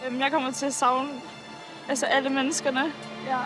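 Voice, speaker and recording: A young woman speaks haltingly in a confessional interview, her voice quite high. A clear music bed plays beneath her on a beach. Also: flat delivery. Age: young adult. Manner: hesitant, with fillers. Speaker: reality show contestant. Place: outdoors on a tropical beach. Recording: reality TV with music underneath.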